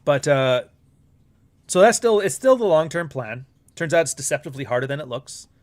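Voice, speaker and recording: A man's voice: fairly high pitch, animated, normal speed. A middle-aged Canadian man with a Canadian accent hosting a casual live stream, talking bluntly with frequent swearing and rambling asides. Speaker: livestream host.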